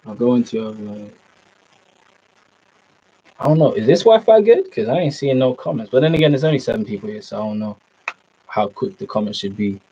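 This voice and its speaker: A man's voice: medium pitch, animated, a little fast, long pauses, mellow, husky. Laid-back young British man streaming. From Norway, raised in the UK. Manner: laid-back, chatty, answers viewer comments, says man and bro a lot. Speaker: fitness streamer. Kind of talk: casual live stream chat. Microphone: laptop mic. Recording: slightly dull lo-fi sound.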